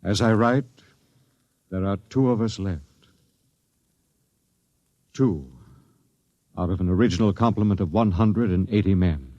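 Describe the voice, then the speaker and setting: An actor playing a grim, doomed space expedition captain in a 1950s radio drama, solemn and stern. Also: male voice, sits low, a little slow, long pauses, mellow, dry recording. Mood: grim, resigned. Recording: dull vintage radio recording with music.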